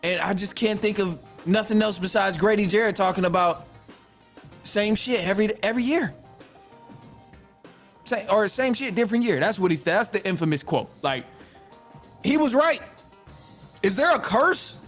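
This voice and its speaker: A man with a high-pitched voice hosts a casual sports show. He rambles in exasperation, repeating phrases for emphasis and peppering them with slang and mild curses. Faint music plays behind him. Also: animated, moderate pace, long pauses, fairly bright tone. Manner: informal slang, mild swearing. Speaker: podcast host. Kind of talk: casual sports rant to listeners.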